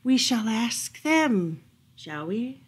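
A woman's voice: on the high side, sing-song, breathy edge, heavily acted. A woman voicing a sly, hungry male fox villain in a storybook, muttering and drawing out words. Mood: sly, scheming. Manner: muttering, drawn-out, scheming.

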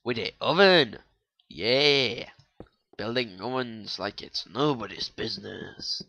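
A man's voice: medium pitch, lively intonation, slow pace, vocal fry, sharp tone. A young man with a non-native English accent, rambling and swearing casually as he comments on his own gameplay. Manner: rambling, swearing, joking to viewers. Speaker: gaming YouTuber.

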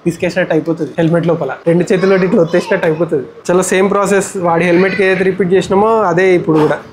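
A man's voice: sits high, fairly even intonation, racing. A man in his twenties with a Telangana Telugu accent, giving a casual how-to vlog talk mixed with English. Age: 20s. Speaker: vlogger. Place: outdoors on a tiled house porch. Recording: faint ambient outdoor noise.

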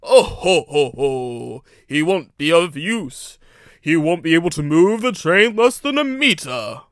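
Man voicing a loud pompous steam engine, bellowing. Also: on the high side, sing-song, takes it slowly, dry recording, studio quality, full character voice. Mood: mocking. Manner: bellowing, moaning, drawn out. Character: big pompous boastful male express steam engine.

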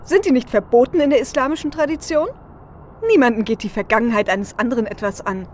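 A woman playing a cocky, sharp-tongued technomancer hacker in a fan audio drama. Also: fairly high pitch, fast talker, close to an everyday voice. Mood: defiant. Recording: faint vehicle and street noise.

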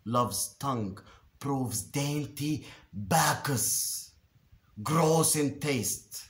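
A man reciting Shakespearean verse theatrically, declamatory and deliberate, with dramatic pauses and emphatic swells. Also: mid-range voice, lively intonation, fairly bright tone. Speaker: actor reciting a Shakespeare monologue. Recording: roomy, echoing space.

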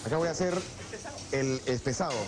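Peruvian chef guy, middle aged, slow and chatty at the stove, noisy restaurant kitchen. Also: mid-range voice. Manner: unhurried, repeats words while working at the stove. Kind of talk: explaining recipes while cooking, then reminiscing. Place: restaurant kitchen, then dining room.

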